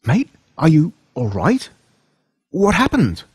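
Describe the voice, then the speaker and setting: Horror storybook lead: loyal Australian lad, read by a man, urgent, emphatic, lifted pitch. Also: huge pitch swings, takes it slowly, husky, no echo, clean studio sound, exaggerated voice. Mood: worried. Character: young boy hero, loyal, urgent, protective mate. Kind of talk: acted dialogue in horror audiobook.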